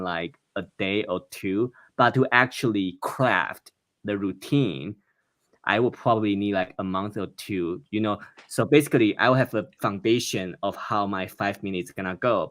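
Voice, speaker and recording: A young man from Taiwan speaks with a Taiwanese accent, his voice sitting fairly low. He talks plainly and earnestly over a video call, quick to laugh. The sound is close and dry, with no room around it. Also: a bit bright, no room sound. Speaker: stand-up comedian, guest. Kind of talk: interview conversation.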